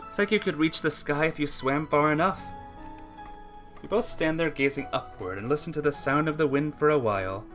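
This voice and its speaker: A man reading a visual novel aloud as a let's play narrator, with expressive, earnest storytelling delivery. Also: fairly high pitch, fairly quick, soft background music.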